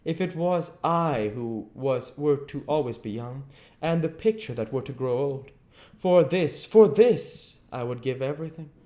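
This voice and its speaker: A man voicing an anguished, vain young man who fears growing old, in a high, strained and pleading voice. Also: moderate pace, darkish tone, lightly acted.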